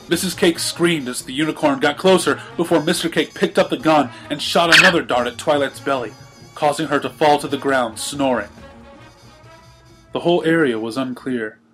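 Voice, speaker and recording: Bright-voiced man narrating a story. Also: on the high side. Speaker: fan fiction reader.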